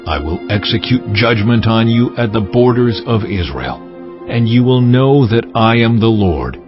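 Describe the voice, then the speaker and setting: A man playing a solemn, commanding God in a dramatized scripture reading, speaking slowly and weightily in declamatory pronouncements. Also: mid-range voice, expressive, close to an everyday voice. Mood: stern, wrathful. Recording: dull audio under loud music.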